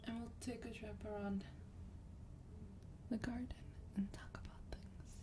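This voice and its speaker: A woman playing a sweet, teasing vampire demon in a gentle, breathy, partly whispered ASMR roleplay. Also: fairly high pitch, little pitch movement, close to an everyday voice. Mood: tender, reassuring. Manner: gentle, polite, unhurried, coaxing. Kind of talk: soft-spoken ASMR roleplay. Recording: distant mic, clear background noise.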